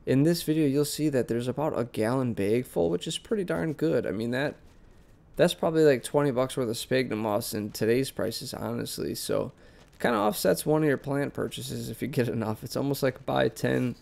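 Young male vlog host with a head cold, nasal and gravelly, relaxed rambling delivery. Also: medium pitch, moderate pace. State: congested, sick and nasal. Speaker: plant channel host. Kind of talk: casual voice-over vlog narration. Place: basement plant room.

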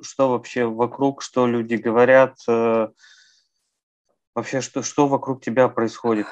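A man in his thirties interviews a guest over a video call, asking measured questions with hesitations. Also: medium pitch, little pitch movement, darkish tone, no room sound. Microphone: earbud mic on video call. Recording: dry, dull video-call audio.

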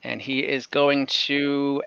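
A man's voice: mid-range voice, level tone, takes it slowly, creaky, background noise. A young man running a tabletop game over a video call, casual and hesitant with many fillers. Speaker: game master. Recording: dull lo-fi call audio.

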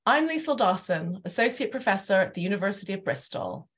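A woman's voice: mid-range voice, expressive, brisk, slightly rough. Middle-aged woman hosting a panel. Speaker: host, university academic. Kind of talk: panel introduction and interviewing. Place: living room at home, video call.

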